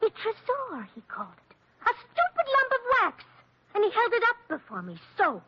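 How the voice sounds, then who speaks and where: A woman's voice: very high-pitched, huge pitch swings, normal speed, exaggerated voice. An actress playing a passionate, scornful young Italian-American woman spurned in love, in theatrical 1940s radio drama style. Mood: agitated, mocking. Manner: theatrical, emotional, repeats words for emphasis. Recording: dull old radio drama recording.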